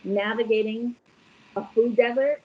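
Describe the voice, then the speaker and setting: A woman from Brooklyn who works for a food bank, speaking from home in a measured, articulate way with occasional fillers. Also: fairly high pitch, steady tone, lo-fi sound. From Brooklyn, New York. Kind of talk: interview answers.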